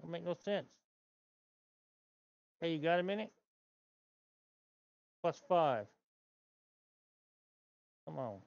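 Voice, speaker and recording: A man's voice: a little high, expressive, takes it slowly, vocal fry, pauses often, dry recording. Middle-aged guy grumbling thru his game stream at home, kinda muffled lo-fi sound. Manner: grumbling, talking to himself about the game. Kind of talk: casual gameplay chat. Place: home gaming room, indoors.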